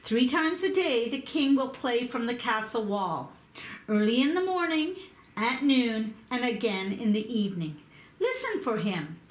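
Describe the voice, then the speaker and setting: A woman voicing a young royal prince who gently explains the way. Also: on the high side, very animated, a little slow. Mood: guiding. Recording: echoey room.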